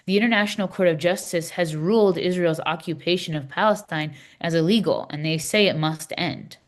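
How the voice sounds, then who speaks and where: A woman's voice: fairly low pitch, fairly quick, close to an everyday voice. A woman in a readers theater playing an impassioned Palestinian advocate, speaking in an earnest, emphatic, declarative way. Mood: earnest, indignant. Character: impassioned Palestinian woman explaining a church document. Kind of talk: scripted readers theater dialogue.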